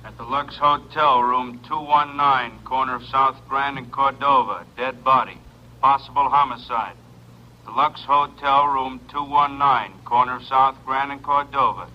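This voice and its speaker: An actor playing a police radio dispatcher who calls out a flat, repeated dispatch over a police radio. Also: male voice, medium pitch, unhurried, dull audio. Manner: repetitive, flat dispatch call. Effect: police radio transmission. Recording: noisy radio transmission.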